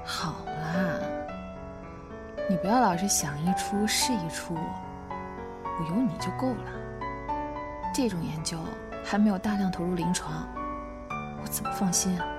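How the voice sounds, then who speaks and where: A woman's voice: fairly low pitch, unhurried, pauses often, natural voice. A woman playing a cool, composed lover in a radio drama, with a restrained, firm manner. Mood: calm, coaxing. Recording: loud music behind the voice.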